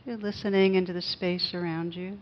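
A woman leading a guided meditation as a teacher, speaking gently and unhurriedly with pauses. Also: on the low side, little pitch movement, lo-fi sound.

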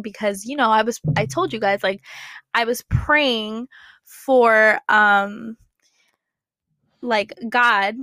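A woman's voice: a little high, fairly bright tone, no room sound. Congested young woman chatting casually. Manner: rambling, with many fillers and restarts. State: congested, with a slight cough. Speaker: podcast host. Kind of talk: casual faith podcast monologue. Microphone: computer setup, looking at notes.

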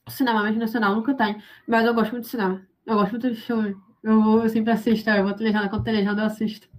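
A woman's voice: fairly high pitch, flat delivery, rapid-fire. A young woman with a Brazilian Portuguese accent answering interview questions in a hesitant way, with many fillers. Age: young adult. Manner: hesitant, frequent fillers and restarts. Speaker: interviewee, university student.

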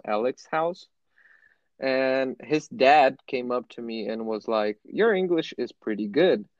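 A man's voice: mid-range voice, dry recording. A man from Brazil leads a laid-back interview, talking fluently and easily with the odd curse word. The audio is dull and dry, with no room sound. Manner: fluent, relaxed, swears casually. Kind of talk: casual conversation.

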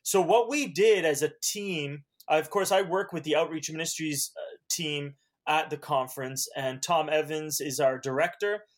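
Earnest Canadian-raised man, Hungarian first language, evangelist guest, slightly high voice, halting restarts. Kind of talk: podcast interview.

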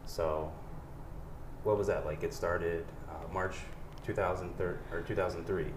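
A man in his thirties with a very deep voice hosts a casual interview podcast. He asks questions in an earnest, curious way. His voice sits in a roomy, echoing space with faint hiss. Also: steady tone, unhurried. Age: 30s. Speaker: podcast host. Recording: roomy echo.

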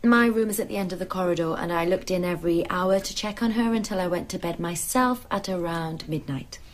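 A woman plays a professional nursing sister in a radio drama. Her voice is on the low side, and she reports precisely, with clinical composure. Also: brisk, natural voice.

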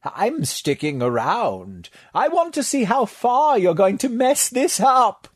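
A man's voice: high-pitched, big pitch swings, slow, husky, no echo, studio quality, pitched above the performer's own voice, heavily acted. A man voicing a gleeful, mocking inhuman librarian inside a host body. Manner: chuckling, mocking.

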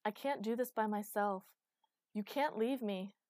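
A woman voicing a desperate, fierce, commanding heroine in a dark fantasy audiobook, emotional and insistent. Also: medium pitch, measured pace, no room sound, natural voice. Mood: anguished. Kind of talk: audiobook character acting.

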